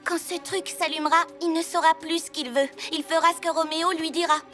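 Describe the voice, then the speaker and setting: A spirited little girl superhero from a cartoon, her voice very high and bright with eagerness. She cheers her friends on toward adventure. Cartoon music plays clearly underneath. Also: steady tone. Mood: eager. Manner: eager, adventurous, quick to encourage. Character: bold six-year-old girl owl superhero. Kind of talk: French cartoon dub.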